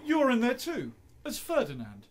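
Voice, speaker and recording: An actor playing an idealistic, stubborn young husband and poet in a radio play, breezy and insistent. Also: male voice, very high voice, huge pitch swings, moderate pace, exaggerated voice. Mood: confident.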